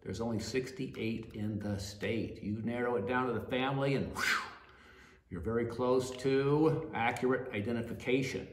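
A man's voice: medium pitch, steady tone, normal speed, slight background noise. Older man teaching plants, echoey room. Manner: chatty, checks in with "all right?" and "okay?" often. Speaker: plant educator. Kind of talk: informal botany class. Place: small office room at a desk.

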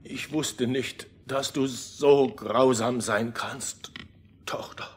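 An actor playing a doting, henpecked king and father in a fairy-tale radio play, with a rough, husky voice. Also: mid-range voice, steady tone, slow. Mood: sad, resigned.

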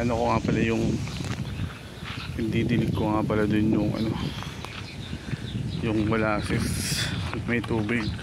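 A man vlogging casually as a farmer on a walk-around, speaking off the cuff in short phrases with pauses. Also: fairly low pitch. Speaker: farm vlogger, goat farmer. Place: outdoors on a rural backyard goat farm. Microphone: handheld phone behind the camera. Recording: noisy outdoor phone recording, farm animals. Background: birds and animals, outdoor farm ambience.

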